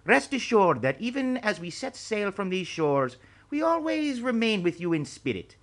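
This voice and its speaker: A man's voice: high voice, big pitch swings, normal speed, voice pushed up. A man voicing an ancient male martial arts master who speaks in ornate, courtly phrases. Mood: ceremonious.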